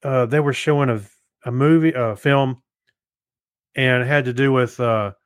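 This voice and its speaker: A man co-hosting a podcast, chatting casually and haltingly as he thinks aloud. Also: mid-range voice, steady tone, clean tone, dry recording, studio quality. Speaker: podcast co-host. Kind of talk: casual chat.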